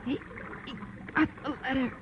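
A woman's voice: moderate pace, natural voice. A woman playing a dying victim in an old radio drama, weak, gasping and faltering. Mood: dying, faint. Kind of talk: 1940s-50s radio drama acting. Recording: muffled, noisy old radio recording.

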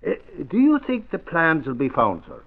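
Reporter, folksy and sympathetic, highish animated voice, muffled vintage radio. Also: male voice, normal speed. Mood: sympathetic, inquiring. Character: folksy, earnest Irish newspaper reporter. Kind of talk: 1940s radio acting.

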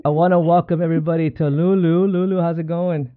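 A man's voice: on the high side, flat delivery, fast talker. A man hosting a podcast in casual, slangy, upbeat chat, welcoming people as he talks. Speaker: podcast host. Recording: phone-like, narrow-band podcast call.

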